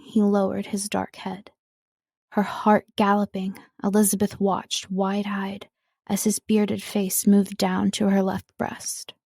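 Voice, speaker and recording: A woman narrating audiobooks from a script in an expressive, measured storytelling way, close to the microphone. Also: medium pitch, gravelly, no echo. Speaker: audiobook narrator, voice-over artist.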